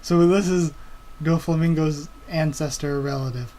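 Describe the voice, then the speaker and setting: A young man reacting live to a new manga chapter, excitable and thinking aloud with stammering restarts. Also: a little high, moderate pace.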